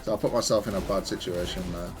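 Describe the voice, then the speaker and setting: A man's voice: low voice, steady tone, fast talker, over music. A man giving off-the-cuff commentary on his own fighting game replays, explaining things in a hesitant, stop-start way. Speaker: gamer analysing own matches.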